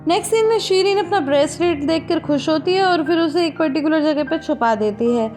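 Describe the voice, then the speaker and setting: A high-pitched woman narrates a plot recap in a chatty, conversational way, turning now and then to speak straight to her viewers. Clear music plays behind her voice throughout. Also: brisk. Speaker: drama recap host. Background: background music.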